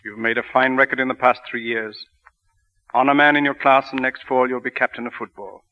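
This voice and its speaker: A kindly priest in charge of a college as a male actor plays him, talking fast with avuncular authority. The old radio play sounds dull and lo-fi. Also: mid-range voice, little pitch movement, lightly acted. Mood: genial, reassuring. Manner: reassuring, avuncular. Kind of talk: 1940s radio acting. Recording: dull 1940 radio recording.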